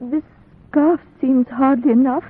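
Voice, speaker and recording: An actress playing a gentle, fearful young wife in a 1940s radio drama, speaking hesitantly. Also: female voice, high voice, steady tone, unhurried, slightly rough, narrow, tinny audio. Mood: fragile, anxious. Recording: reverberant, band-limited 1940s radio recording.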